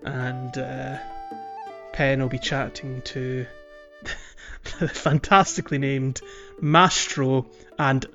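A man's voice: medium pitch, flat delivery, slow pace, pushed and bright, lo-fi sound, faint music behind. A man chatting casually and wryly as he hosts a video game podcast. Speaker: podcast host.

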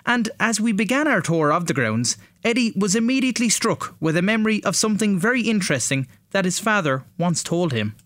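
Higher-pitched Irish male radio host. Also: big pitch swings, fairly quick, few pauses, fairly bright tone, no room sound, studio quality. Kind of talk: scripted radio presenting.

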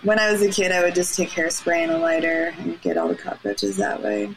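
Chatty woman in her thirties, lowish voice. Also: very animated, a little fast, slight background noise, low-quality recording. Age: 30s. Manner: laughing often, lots of fillers. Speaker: podcast guest. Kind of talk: casual chat.

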